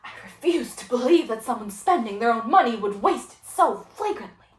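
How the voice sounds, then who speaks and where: A woman's voice: on the high side, expressive, brisk, far from the mic, close to an everyday voice. Casting: woman as earnest, weary-of-nonsense female teacher for solo stage drama, restrained and stern, echoey recording. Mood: dry, reproving. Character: exasperated, earnest female teacher, a former psychiatrist. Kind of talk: solo dramatic interpretation performance.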